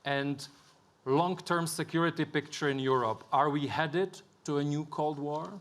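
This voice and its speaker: A man moderating a formal panel, introducing guests and putting questions to them in a measured way. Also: on the high side, fairly even intonation, a bit bright. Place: conference stage with an audience.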